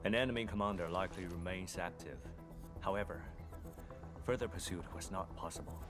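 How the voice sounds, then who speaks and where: An actor playing a stoic, terse military operative in a video game, speaking formally and bluntly. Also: male voice, low voice, a little fast, over music, natural voice. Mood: formal, matter-of-fact.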